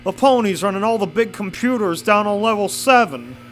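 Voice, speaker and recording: A man's voice: sits high, expressive, normal speed, a bit bright, soft background music. An actor playing a suspicious, bitter, grieving and drunk male maintenance pony in an audio drama. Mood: challenging, agitated. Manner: challenging, conspiratorial.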